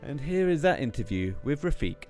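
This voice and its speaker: A man's voice: a little high, sing-song, fairly quick, darkish tone, soft background music. A man hosting a podcast in a chatty, tongue-in-cheek way as he presents and interviews.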